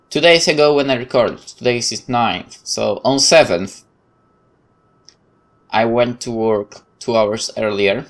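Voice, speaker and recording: A let's player who reads game text aloud and thinks out loud in hesitant, casual asides. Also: medium pitch. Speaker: gaming video host. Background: game music.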